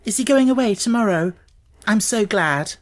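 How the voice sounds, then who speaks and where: A man's voice: high-pitched, expressive, moderate pace, pitched above the performer's own voice. A man voicing a stubborn, eager little girl in an audiobook, speaking with earnest determination. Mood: startled, relieved.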